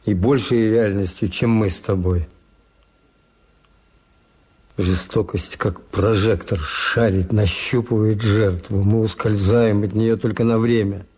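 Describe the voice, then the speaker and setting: An actor playing a weary, cynical middle-aged hotel owner who tells his story in a measured, wry way in a Soviet radio drama. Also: on the low side, steady tone, a little mellow, husky. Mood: bleak, brooding.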